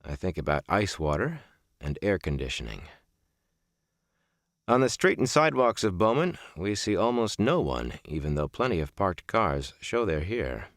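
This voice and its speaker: A man narrates a reflective audiobook in a very low voice. His phrasing is deliberate and measured, with lively rises and falls in pitch. The recording is close and dry. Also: no echo. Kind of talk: scripted audiobook narration of a reflective first-person memoir novel.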